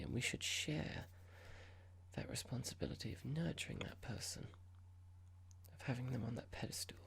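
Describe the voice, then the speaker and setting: A performer playing a horrible, condescending young corporate boss in an ASMR roleplay, speaking in a breathy whisper with a measured, pompous, formal manner. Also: medium pitch, exaggerated voice. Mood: smug, stern. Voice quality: breathy, gravelly whisper with clicks.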